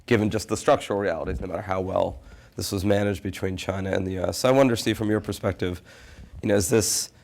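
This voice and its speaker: A man's voice: low-pitched, steady tone, fast, gravelly, a little mellow. A man with a head cold steering a panel conversation, wry and self-deprecating, asking long winding questions. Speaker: panel moderator. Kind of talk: panel discussion.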